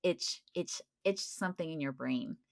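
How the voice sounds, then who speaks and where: A young adult woman co-hosting a podcast in casual chat, upbeat and chatty, quick to laugh. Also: low voice, creaky, dry recording, clean studio sound. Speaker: podcast co-host.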